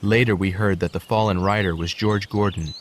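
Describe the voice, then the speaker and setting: A man playing a gentle horse who narrates his life story in a measured, earnest storybook style. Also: low-pitched, fairly even intonation, slight background noise, close to an everyday voice. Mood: reflective.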